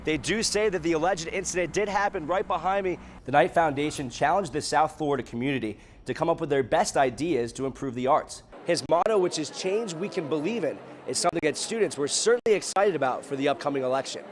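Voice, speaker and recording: A man's voice: a little high, quick pace, few pauses, slight background noise. A young man with an American accent reads scripted news in a formal newscaster delivery, as a reporter and anchor. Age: young adult.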